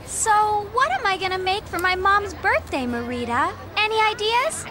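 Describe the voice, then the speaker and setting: A woman voicing a cheerful, excitable young girl witch in a cartoon. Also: extremely high pitch, moderate pace, noisy background. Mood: excited. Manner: bubbly, excitable. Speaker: lead character.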